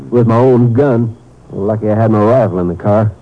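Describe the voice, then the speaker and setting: Actor as a folksy, blunt small-town sheriff. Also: male voice, fairly low pitch, moderate pace, natural voice. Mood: vengeful, rueful. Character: gruff, aggrieved male small-town Texas sheriff. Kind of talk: 1950s radio drama acting. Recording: muffled vintage radio recording.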